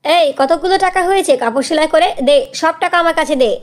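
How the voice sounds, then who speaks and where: Cartoon villain stepmother female actor: shrill-high pitch, sarcastic, demanding, always scolding. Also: brisk. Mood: greedy demanding. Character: cruel bossy stepmother, scolding and greedy. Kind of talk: Bengali fairy-tale cartoon dubbing.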